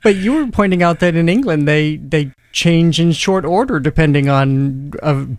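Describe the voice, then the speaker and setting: A man's voice: fairly high pitch, moderate pace. A man hosting a radio culture show, interviewing a guest with jokey banter and reading the closing announcements. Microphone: studio microphone.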